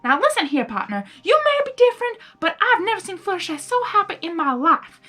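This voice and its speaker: A woman's voice: very high-pitched, very animated, fairly quick, pushed and bright, faint music behind, voice pushed up, full character voice. A woman voicing a blunt, loyal female cowgirl pony in a story reading, commanding and emphatic. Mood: earnest, firm. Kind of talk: audiobook-style character reading.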